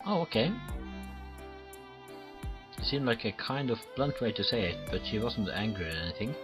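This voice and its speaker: A man's voice: lowish voice, expressive, normal speed. A man reading visual novel text aloud as a let's play host, often stumbling over words and restarting. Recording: clear game music behind voice. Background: game music.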